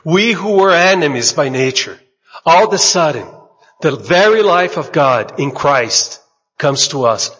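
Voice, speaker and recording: Male preacher, lively pitch, breathy voice, long hall echo, dull sound. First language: Portuguese. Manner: measured, speaks in short phrases and pauses for the interpreter. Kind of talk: sermon with consecutive interpretation. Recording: dull recording in a large echoing hall.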